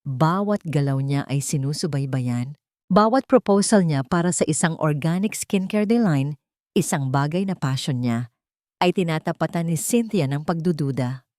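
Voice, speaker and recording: A woman's voice: deep voice, animated, normal speed, darkish tone, no room sound, studio quality. A woman reading a scripted dramatic story as its narrator.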